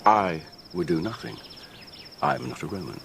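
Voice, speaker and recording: An actor playing a cautious, dry Roman courtier in a radio drama, guarded and dismissive. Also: male voice, low voice, expressive, normal speed, vocal fry, loud music behind the voice. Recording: distant, night-time crickets behind.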